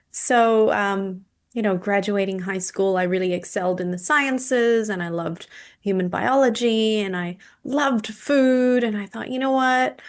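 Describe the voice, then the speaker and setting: A woman in her thirties from Indonesia tells her story as a podcast guest, at a medium pitch. Her speech is measured, and she often pauses on an um. She sounds like an expert who is speaking openly. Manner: measured, with frequent ums. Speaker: guest, clinical nutritionist and naturopath. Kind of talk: podcast interview, personal story.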